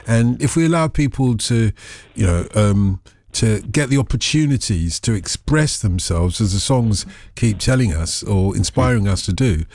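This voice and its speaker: A man's voice: lowish voice, lively intonation, moderate pace, hardly any pauses, darkish tone, no room sound, clean studio sound. A man with a Liverpool accent hosting a radio phone-in, playful and jokey, often talking over his guest. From England. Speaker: radio show host. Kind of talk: casual radio phone-in chat.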